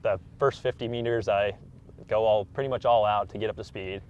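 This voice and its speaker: Male athlete, 20s, low register, matter-of-fact interview answers, slightly muffled audio. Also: steady tone, brisk, darkish tone. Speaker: elite track athlete being interviewed. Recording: dull, muffled lo-fi recording.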